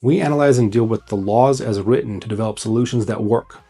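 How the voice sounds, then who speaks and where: A man with a mellow, slightly husky voice delivers scripted advice as the host of an online video. He is blunt, urgent and emphatic, landing short punchy phrases. Faint music plays behind him. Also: lowish voice, fast talker. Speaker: host, immigration consultant.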